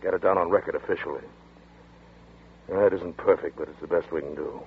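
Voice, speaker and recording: A man's voice: low voice, fairly even intonation, a little fast, creaky, a little hiss or hum. A man voicing a tough, weary detective friend who talks in a blunt, matter-of-fact way, in 1940s radio drama. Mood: gruff. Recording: tinny old radio broadcast.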